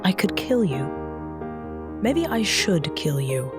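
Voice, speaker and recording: A woman's voice: lowish voice, sing-song, takes it slowly. An actress playing a wry, vengeful female captain in an audio drama. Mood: cold, vengeful. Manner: sardonic. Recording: music behind the voice.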